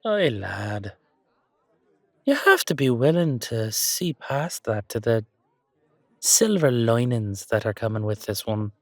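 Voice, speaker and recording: An actor playing a jovial, roguish guard in an audio roleplay drama, with folksy, colloquial banter. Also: male voice, mid-range voice, sing-song, slow pace, no room sound. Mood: cheerful, teasing. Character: jovial, roguish male guard fond of free drink.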